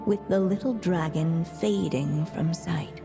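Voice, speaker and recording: A woman narrating a fairy tale in a solemn, measured storytelling voice. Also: on the low side. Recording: long reverb with game music.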